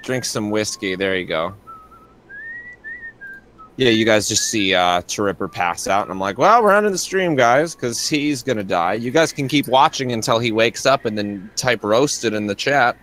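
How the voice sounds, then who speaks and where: A man's voice: on the low side, animated, normal speed, a bit bright. A man streamer bantering casually on a livestream in a laid-back, slangy, sweary way.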